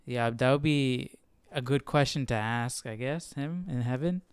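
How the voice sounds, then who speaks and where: A man hosting a Bible study livestream, thinking aloud in a casual, informal way and often halting to search for words. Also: medium pitch, smooth, no room sound.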